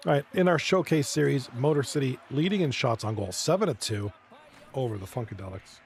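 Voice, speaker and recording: A man with a hoarse, fading voice doing casual play-by-play commentary on a live stream, chatting with viewers between plays. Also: mid-range voice, expressive, a little fast. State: hoarse, losing his voice. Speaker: esports league commentator.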